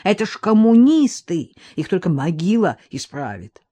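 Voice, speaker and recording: Brief: woman reader doing an enraged, sarcastic older man, loud and harsh, audiobook. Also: fairly low pitch, sing-song, normal speed. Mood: angry. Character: furious bitter older man, barking.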